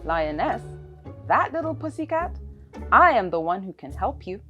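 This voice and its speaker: A huge, proud storybook elephant acted by a woman, male and full of himself. The delivery is pompous and very varied in pitch, with music clearly behind it. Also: big pitch swings, moderate pace, fairly bright tone, muffled recording. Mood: scoffing. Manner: pompous, scoffing.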